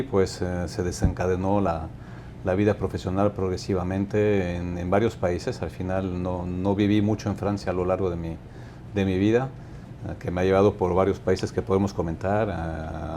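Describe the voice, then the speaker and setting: A man in his fifties from Mexico, a company director answering interview questions in a measured, thoughtful way, often restarting his sentences. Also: deep voice, flat delivery, mellow, slight background noise. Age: 50s.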